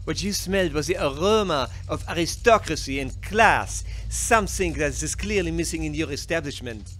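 Haughty male olive, melodramatic snob, highish swooping pitch, radio comedy, music behind. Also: lively intonation, normal speed, fairly bright tone. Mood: indignant. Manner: pompous, dramatic. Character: haughty, theatrical aristocratic olive, melodramatic and snobbish.

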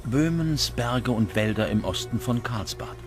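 Male documentary narrator, mid-range voice, scripted delivery, clearly audible music bed. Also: mid-range voice, expressive, measured pace. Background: music under the voice.

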